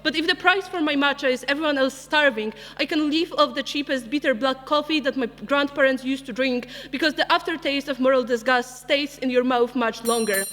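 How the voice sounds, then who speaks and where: A woman's voice: high-pitched, a little fast, hardly any pauses, fairly bright tone. A woman with a Polish accent giving an impassioned, wry debate speech. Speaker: debate speaker. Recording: reverberant debating chamber.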